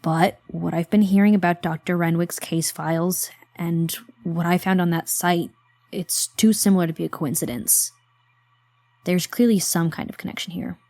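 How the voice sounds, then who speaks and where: A woman acting as a reluctant but loyal accomplice in a psychological horror audio drama. Her voice is on the low side and recorded very dry and close. She talks with sardonic, conversational bluntness. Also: steady tone, moderate pace, mellow, no room sound, natural voice. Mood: reluctant, wry.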